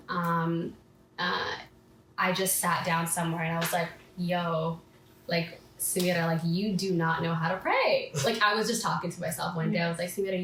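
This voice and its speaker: A woman in her twenties tells her story casually and acts out her own past self-talk. Her voice sits a little low for a woman. The room is echoey, with a faint hiss underneath. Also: a bit bright. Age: 20s. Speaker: podcast guest.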